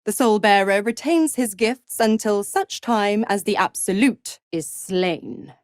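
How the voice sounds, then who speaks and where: A woman's voice: mid-range voice, unhurried, no echo, studio quality, close to an everyday voice. A woman voicing a sly, seductive devil in a video game, mocking and condescending, with a teasing theatrical drawl. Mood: smugly officious. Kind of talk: video game character acting.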